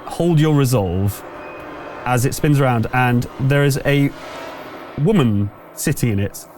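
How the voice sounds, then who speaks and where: A man running a tabletop game as game master, improvising the narration in a halting, conversational way. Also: mid-range voice, darkish tone. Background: background music.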